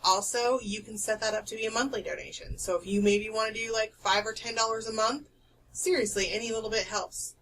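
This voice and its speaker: A woman co-hosting an art podcast in casual chat, loud and carrying, chatty, swearing freely and laughing often. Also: a little high, fairly even intonation, brisk, far from the mic, a little hiss or hum, low-quality recording. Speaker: podcast co-host. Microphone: desk podcast microphone.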